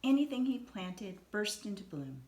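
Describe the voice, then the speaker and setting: A woman reading a children's story aloud in an expressive, deliberate storytelling manner. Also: mid-range voice. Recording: roomy echo, some background noise.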